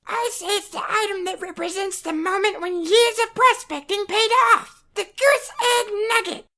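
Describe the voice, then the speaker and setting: An actor voicing an excitable young cartoon duck nephew who tells stories earnestly and eagerly in a fan comic dub. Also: very high voice, normal speed, heavily acted. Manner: earnest, enthusiastic storytelling.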